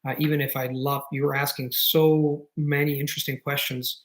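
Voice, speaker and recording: A man's voice: mid-range voice, little pitch movement, moderate pace, fairly bright tone. Rambling man answering live questions. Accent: Italian. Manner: frequent restarts and fillers, thinking aloud; enthusiastic, explanatory. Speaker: host answering viewer questions. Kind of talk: live stream Q&A, casual and rambling.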